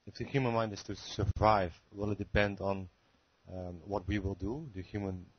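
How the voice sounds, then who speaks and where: Deep, flat-voiced male caller, hesitant. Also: normal speed, husky, dry recording. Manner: hesitant, searching for words, many fillers. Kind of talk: question on a conference call. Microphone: phone line. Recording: muffled phone-call audio.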